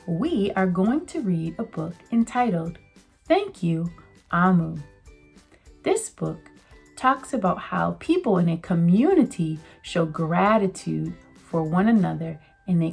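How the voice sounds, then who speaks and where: A woman's voice: fairly low pitch, creaky, darkish tone. A woman teacher giving a children's read-aloud lesson, speaking directly to young listeners in an encouraging way.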